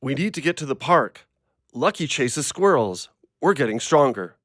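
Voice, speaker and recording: Man acting a blunt, animated, guarded dog owner. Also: mid-range voice, normal speed, dry recording, studio quality. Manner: blunt, literal, abrupt. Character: blunt, guarded, literal-minded dog owner named Wally. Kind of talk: audio drama acting.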